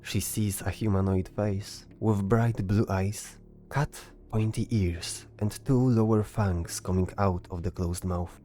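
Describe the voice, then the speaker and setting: Mellow low-voiced man narrating fantasy. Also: fairly even intonation, slow, slight background noise. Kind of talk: scripted fantasy story narration. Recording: music behind the voice. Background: music.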